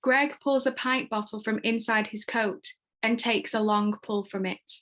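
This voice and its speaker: A woman's voice: a little high, fairly even intonation, a little slow, fairly bright tone. A woman giving scripted narration, reading out screenplay stage directions. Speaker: stage-direction reader and host.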